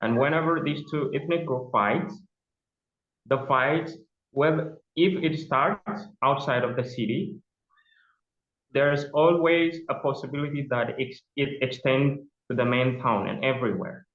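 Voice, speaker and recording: A man's voice: medium pitch, a little slow. A young man with an Ethiopian accent, a judge speaking as a guest in a remote interview, often hesitating and repeating words as he searches for them. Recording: tinny phone line with echo.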